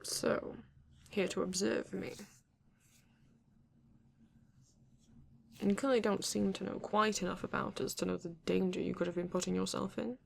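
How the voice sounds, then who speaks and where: A woman playing a flirty, courteous vampire in an ASMR romance roleplay, breathy and often dropping to a whisper, with genteel, teasing phrasing. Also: medium pitch. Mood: intrigued. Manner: slow, genteel, teasing, old-fashioned wording. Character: flirty, courteous vampire living alone in a castle.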